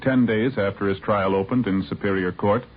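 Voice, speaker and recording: A man's voice: on the low side, fairly even intonation, normal speed, a little hiss or hum. A man announcing formally from a script in 1950s radio style. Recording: muffled vintage radio with music behind.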